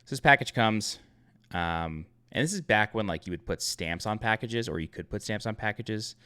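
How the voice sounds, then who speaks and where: A man's voice: on the low side, lively intonation, moderate pace, sharp tone, clear, resonant tone. A man in his thirties from Long Island tells a personal story in a casual, rambling way. He corrects himself often and uses many fillers. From Long Island, New York. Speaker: YouTube channel host.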